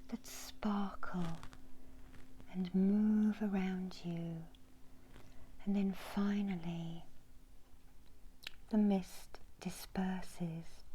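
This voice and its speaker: A middle-aged woman whispering a guided meditation in ASMR style, breathy and hushed, with long soothing pauses. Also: mid-range voice, rough recording. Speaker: meditation guide and Reiki healer. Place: small indoor room.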